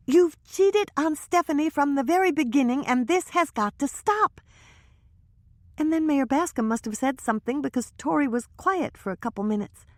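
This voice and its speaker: A woman's voice: high-pitched, animated, normal speed, no room sound, studio quality, pitched above the performer's own voice. A woman voicing a nervous, gossipy young woman who talks in a hesitant, breathless way.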